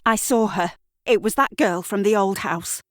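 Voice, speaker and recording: Audio drama role: gossipy neighbour woman, raised pitch, smooth and insistent, put on by a woman narrator. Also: normal speed, no echo, clean studio sound, lightly acted.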